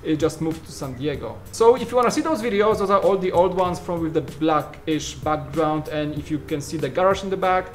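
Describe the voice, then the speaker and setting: A man's voice: a little high, brisk, hardly any pauses. A man in his thirties from Poland with a Polish accent, co-hosting a casual studio Q&A and joking as he answers viewer questions. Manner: joking, trails off mid-sentence. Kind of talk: casual Q&A chat. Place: large studio room full of shelves.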